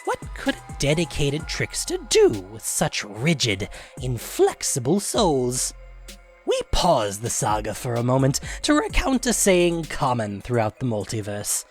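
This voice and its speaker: A man's voice: medium pitch, wildly swooping pitch, faint music behind. Man playing a sly, theatrical trickster devil storyteller. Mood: sly, mock-sympathetic. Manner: grandiose, sly, savoring words with dramatic pauses. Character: gleefully wicked trickster devil storyteller recounting his own saga. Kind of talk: theatrical scripted fantasy saga narration.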